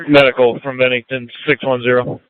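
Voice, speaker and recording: A man's voice: medium pitch, flat delivery, fairly quick, clean tone, no echo. A man on an ambulance crew giving terse, procedural updates over a narrow two-way radio channel. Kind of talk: radio traffic status reports.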